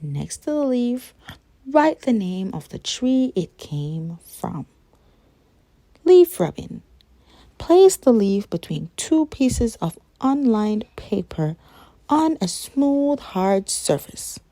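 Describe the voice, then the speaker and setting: A woman reading a children's nonfiction picture book aloud in a measured, expressive way. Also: a little high, dry recording.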